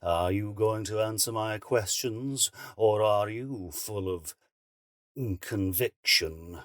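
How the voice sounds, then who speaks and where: Slow, courteously cruel villain. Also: male voice, fairly low pitch, fairly bright tone, dry recording, studio quality. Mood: mock-regretful menace. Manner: mock-courteous, deliberate, theatrical. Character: cruel, politely menacing male villain, monstrous interrogator. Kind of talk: audio drama acting.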